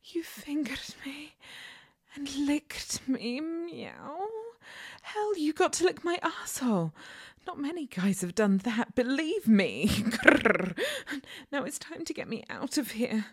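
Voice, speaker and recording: A woman voicing a playful young catgirl who teases in breathy whispers, meows and purring growls. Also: high voice, sing-song, slow pace, full character voice. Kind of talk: solo audio roleplay.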